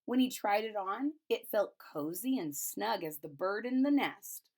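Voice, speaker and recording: A woman reads a children's picture book aloud expressively, pausing to explain and retell it in simple casual words. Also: mid-range voice, animated, a little mellow. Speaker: storybook reader.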